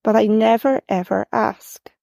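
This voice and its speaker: Gravelly woman reading her own story. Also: fairly high pitch, no echo, clean studio sound. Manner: measured, gently expressive reading, lifting her voice for quoted dialogue. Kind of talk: first-person story reading.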